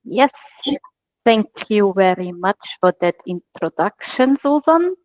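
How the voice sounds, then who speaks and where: An Austrian woman walks a webinar audience through a platform in English, friendly and a little self-deprecating. Her voice sits at an ordinary middle pitch. The call audio is dull and dry. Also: lively intonation, takes it slowly, no echo. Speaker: webinar presenter. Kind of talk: online presentation.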